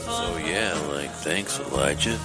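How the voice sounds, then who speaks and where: A man's voice: low-pitched, lively intonation, takes it slowly. A man playing a laid-back, groovy hippie customer in a comic radio play, with music over his voice. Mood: mellow, grateful.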